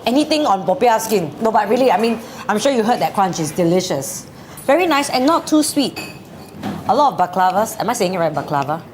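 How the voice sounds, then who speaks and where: A woman's voice: medium pitch, very animated, fairly quick, darkish tone, a little hiss or hum. A woman with a Singaporean English accent, co-hosting a live cooking show in a bubbly, exclamatory way. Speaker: presenter and co-host.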